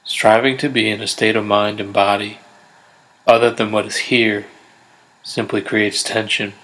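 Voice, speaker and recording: A man leads a guided body scan meditation in a low voice. His delivery is very even and steady, with little rise or fall. He gives each instruction gently and without hurry, leaving pauses between phrases. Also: low-quality recording. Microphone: close USB condenser microphone.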